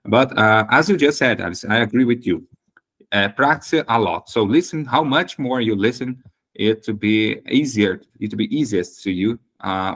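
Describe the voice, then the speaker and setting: A Brazilian man with a Brazilian Portuguese accent hosting a casual English practice chat, speaking hesitant non-native English with frequent fillers. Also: lowish voice. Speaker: host.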